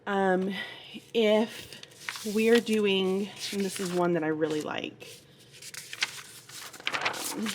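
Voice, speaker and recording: A woman's voice: medium pitch, very slow, long pauses, noisy background. A woman teacher talking casually through her week in a vlog, chatty and a bit tired, with a sleepy voice. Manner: chatty, with frequent ums. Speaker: fourth grade teacher.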